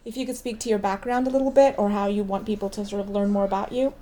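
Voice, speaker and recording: A woman's voice: mid-range voice, fairly even intonation, fast, a crowd in the background. A woman hosting an interview, asking long, rambling questions. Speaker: interviewer, host.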